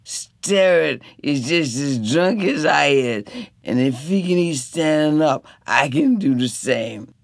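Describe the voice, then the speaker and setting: A man voicing a rowdy young drunk who is spoiling for a fight, loud and defiant. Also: a little high, lively intonation, takes it slowly, dry recording, studio quality. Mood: stubborn.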